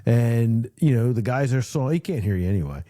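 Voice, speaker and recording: A man co-hosting a casual podcast, quick with short agreeing replies and laughs. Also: medium pitch, animated, warm tone. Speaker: podcast co-host. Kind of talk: casual chat.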